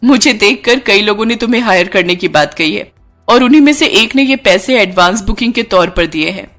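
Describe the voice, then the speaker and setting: A woman's voice: mid-range voice, animated, fairly quick, a bit bright, soft background music, lightly acted. Woman as a confident, effusive young actress. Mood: confident, affectionate. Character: glamorous, grateful young actress, confident and big-sisterly. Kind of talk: Hindi cartoon story dialogue.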